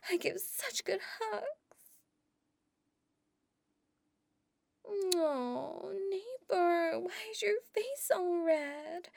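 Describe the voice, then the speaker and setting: A woman's voice: extremely high pitch, vocal fry, exaggerated voice. A woman playing a tipsy, doting older neighbor. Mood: tipsy flirty. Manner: soft flirty coaxing, slipping into whispers. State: a little drunk. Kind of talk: audio roleplay.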